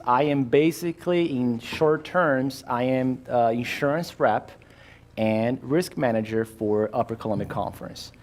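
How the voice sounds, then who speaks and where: A man from Brazil with a Brazilian Portuguese accent, an insurance rep giving a relaxed workshop talk with many fillers and restarts. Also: medium pitch. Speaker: insurance rep and risk manager. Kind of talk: workshop presentation.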